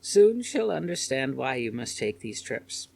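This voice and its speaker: Man playing a gracious elderly woman, pitched high. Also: sing-song, a little slow, gravelly, a little mellow, heavily acted. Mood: grave. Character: elderly, kindly, dignified old-world woman neighbour.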